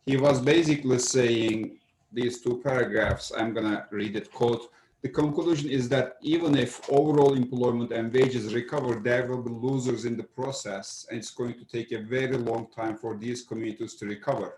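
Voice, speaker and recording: Panelist: man, explanatory and hesitant, mid pitch, echoey room on a video call. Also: steady tone, normal speed, darkish tone, a little hiss or hum. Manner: explanatory, hesitant, many uhs. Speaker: economics panelist. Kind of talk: online seminar discussion.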